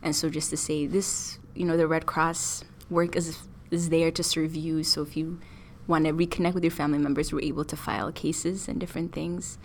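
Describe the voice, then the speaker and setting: A young woman student from Ethiopia, talking about her studies and experiences thoughtfully, with fillers and pauses. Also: low-pitched, little pitch movement, a little hiss or hum. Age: young adult. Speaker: student interviewee. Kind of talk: casual interview.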